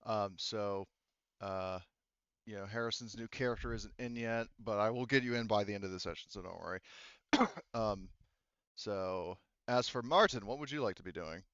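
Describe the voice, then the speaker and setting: A man running a tabletop roleplaying game as game master, narrating casually in a halting, conversational way. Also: lowish voice, a bit bright, smooth, no room sound, lo-fi sound.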